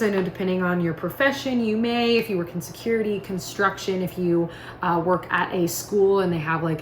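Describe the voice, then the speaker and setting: A woman's voice: mid-range voice, a bit bright. A woman giving a casual product demonstration in an upbeat, informal, chatty way. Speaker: product presenter. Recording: echoey room with faint noise.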